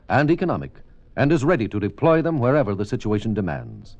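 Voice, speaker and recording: A man reading a scripted 1950s radio announcement in a formal, declamatory way. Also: mid-range voice. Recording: dull 1950s radio recording.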